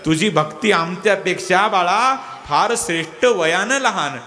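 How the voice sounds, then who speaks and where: A man's voice: sits high, measured pace, bright, forceful tone, big-room sound. A male preacher voicing a tender, proud mother lamenting to her young son in a devotional story. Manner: tender, lamenting, addressing a child.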